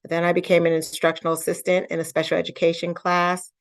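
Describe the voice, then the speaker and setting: A woman's voice: on the low side, monotone, fast. A woman in her fifties, a school district equity officer, introducing herself on a video call in a chatty, joking way.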